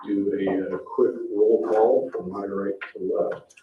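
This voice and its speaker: A man's voice: on the low side, steady tone, measured pace. An American man chairing a public meeting, talking informally through business items in a meeting room. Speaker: commission chair running a meeting. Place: indoor town meeting room. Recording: roomy, distant mic, dull, faint hiss.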